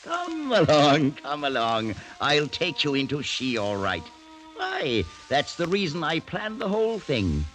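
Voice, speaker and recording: A man's voice: fairly high pitch, huge pitch swings, unhurried, fairly bright tone, soft background music, exaggerated voice. An actor playing a menacing, sly old French nobleman in a theatrical, mock-courteous radio style. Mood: mocking, gleeful. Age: older. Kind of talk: 1940s-50s radio acting. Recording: noisy vintage radio drama recording.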